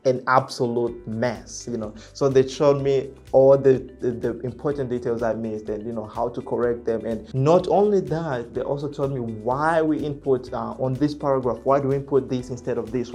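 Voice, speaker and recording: A man speaking English as a second language, talking to camera in a casual vlog. His voice sits at an ordinary middle pitch, and his speech is halting, full of fillers and restarted phrases. He comes across as reserved and soft-spoken, with faint music playing underneath. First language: not English. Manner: halting, many fillers and self-corrections. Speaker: real estate agent and vlogger.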